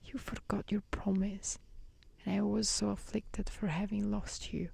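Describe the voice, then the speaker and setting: A woman voicing a gentle, sorrowful male Beast from a storybook in a breathy whisper. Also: medium pitch, level tone, measured pace, exaggerated voice. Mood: weak, sorrowful.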